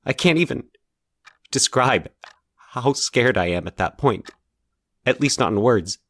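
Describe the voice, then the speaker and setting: A man's voice: medium pitch, expressive, fairly bright tone, dry recording, clean studio sound. A man acting a nervous man haunted by nightmares. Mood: frightened, pleading. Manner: nervous, halting, stumbling over his words. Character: anxious man confessing a recurring childhood nightmare. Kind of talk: dramatized horror audio fiction.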